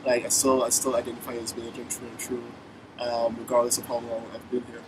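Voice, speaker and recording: A man's voice: medium pitch, brisk. A young Barbadian man chatting loosely as an interview guest, circling back and restarting his thoughts. He sits a little away from the mic. An air-conditioner hiss and distant traffic run underneath him. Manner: relaxed, rambling, many restarts and fillers. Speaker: musician guest. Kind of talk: casual interview chat. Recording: distant mic with background noise.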